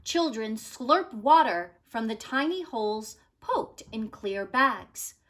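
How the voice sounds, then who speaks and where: A woman reads a children's story aloud in a fairly high voice. She takes her time over each phrase and moves her pitch around expressively, like a teacher sharing a book with students. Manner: deliberate, expressive storytelling delivery.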